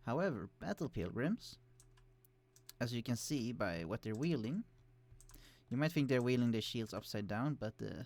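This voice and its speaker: A man casually commenting on a strategy game he is playing, explaining units with many fillers and restarts, his voice kept quiet and turned down in the recording. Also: medium pitch, lively intonation, normal speed. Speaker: let's play host. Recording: quiet voice, turned down to hide computer hum.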